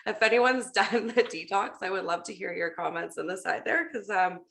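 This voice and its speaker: A woman with a bright, forward voice hosts an informal group conversation. She sounds upbeat and chatty, speaking off the cuff at a middling pitch. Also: moderate pace, creaky. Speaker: host of a team video call. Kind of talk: casual conversational talk.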